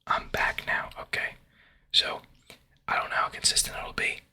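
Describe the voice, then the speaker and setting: Whispering male ASMR creator, bright and breathy. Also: moderate pace. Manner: repeats short phrases over and over. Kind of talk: whispered ASMR, casual and rambling to viewers.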